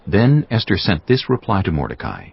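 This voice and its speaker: A man gives a solemn, dramatic scripture narration in a very deep, gravelly voice. His delivery is deliberate yet widely inflected, and the sound is slightly muffled. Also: huge pitch swings.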